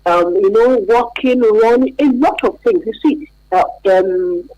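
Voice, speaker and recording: A woman's voice: on the high side, unhurried, dark tone, dry recording, highs cut off. A woman with a Nigerian accent, a therapist guest explaining things emphatically in a radio interview, repeating key words and checking that the listener follows.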